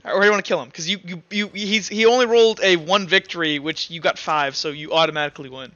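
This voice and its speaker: A man with a high, bright voice acts as game master in a casual, halting style. He thinks aloud as he narrates. The audio is dry and a little dull. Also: no room sound.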